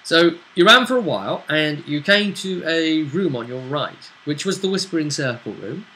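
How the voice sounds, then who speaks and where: A man's voice: fairly high pitch, expressive, moderate pace, bright, forceful tone, poor audio. A man acting as game master, casually recapping a tabletop role-play session and checking in with his player as he goes. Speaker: game master and host of the vidcast. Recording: faint background noise.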